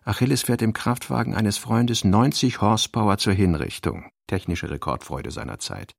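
Brooding novelist narrator for a literary radio play: gravelly, rough-edged, deliberate, reading out working notes. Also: male voice, fairly low pitch, animated, no echo, studio quality, exaggerated voice. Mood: reflective.

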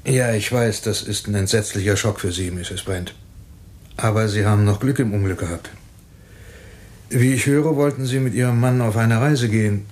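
An actor playing a calm, methodical police inspector in a radio drama, speaking in a measured, explanatory way. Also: male voice, lowish voice, lightly acted.

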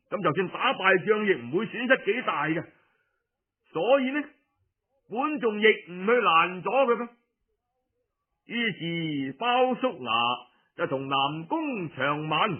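High-voiced, animated Cantonese male storyteller. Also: husky. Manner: colloquial, expressive narration with frequent drawn-out particles. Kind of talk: dramatic oral storytelling of historical tales. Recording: muffled phone-like radio recording.

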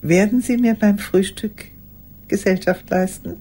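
An actress playing a lonely old woman who confesses haltingly and intimately in a radio drama monologue. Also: medium pitch, fairly even intonation, slightly rough, soft background music. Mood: agitated, pointed. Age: older. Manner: hesitant, halting, intimate, with hushed phrase endings.

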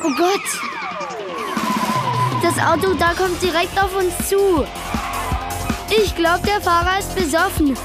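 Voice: very high voice, takes it slowly, long pauses, over loud music. A performer playing a timid, anxious schoolboy in a children's radio play, speaking hesitantly. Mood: frightened. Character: timid, easily frightened schoolboy in superhero costume.

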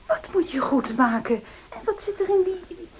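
A woman's voice: very high voice, expressive, moderate pace, darkish tone, slight background noise. An actress in a radio play voicing an anxious, protesting woman who frets over an ailing man in her care. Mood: alarmed. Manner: agitated, fretful.

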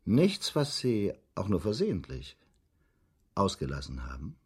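An actor playing a methodical, politely insistent English police inspector in a radio drama, speaking deliberately and with theatrical emphasis. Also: male voice, low-pitched, huge pitch swings, darkish tone, slightly rough, full character voice. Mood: probing.

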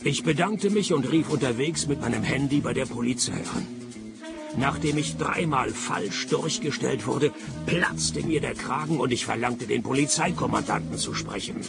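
An actor playing a laconic, sarcastic private detective, narrating and questioning with deadpan wit in a radio play. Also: male voice, mid-range voice, normal speed, creaky, loud music behind the voice, natural voice. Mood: deadpan, sardonic. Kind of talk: radio play first-person narration and dialogue.

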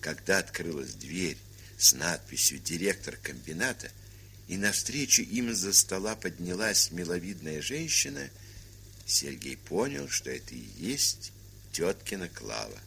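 A man's voice: sits low, lively intonation, measured pace, vocal fry, pushed and bright, heavily acted. A man narrating an audio play as a composed literary storyteller. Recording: hissy, humming 1970s record.

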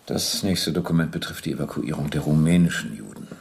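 A man's voice: very deep voice, expressive, brisk, vocal fry, darkish tone, slight background noise, exaggerated voice. A male actor in a German radio play, playing a persistent, controlled police captain who interrogates with precise, probing questions.

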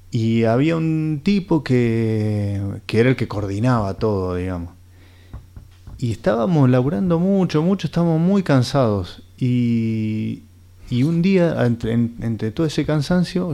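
A man's voice: mid-range voice, mellow. A man with a Rioplatense accent in a radio play, playing a muddled, dreamy storyteller who hesitates, rambles and repeats himself. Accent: Rioplatense Argentine. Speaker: radio drama actor. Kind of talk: conversational radio theatre.

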